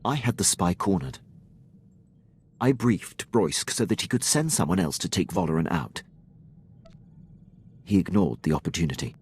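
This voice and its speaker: An actor playing a dutiful Imperial military officer in a video game, speaking with precise, deferential formality. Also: male voice, low-pitched, expressive, normal speed, pauses often, a little mellow, slightly rough, full character voice. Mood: grave, intent. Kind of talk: scripted video game dialogue.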